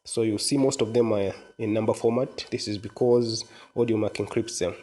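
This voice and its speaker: A man with a fairly low voice presents a casual app walkthrough. He explains each step informally, like a presenter guiding viewers through a screen. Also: steady tone, normal speed, mellow. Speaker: tutorial presenter. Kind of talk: casual software walkthrough narration.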